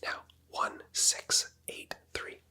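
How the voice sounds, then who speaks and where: A person whispering gentle, patient clinical instructions in a close, quiet ASMR medical roleplay as a doctor. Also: extremely slow. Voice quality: whispered, mostly unvoiced. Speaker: ASMR creator playing a doctor.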